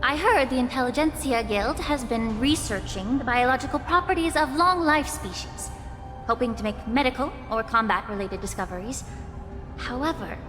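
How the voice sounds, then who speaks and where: A woman's voice: on the high side, long reverb tail, lightly acted. A woman voicing a composed, resolute young female warrior in a video game, speaking seriously and precisely. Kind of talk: scripted video game dialogue.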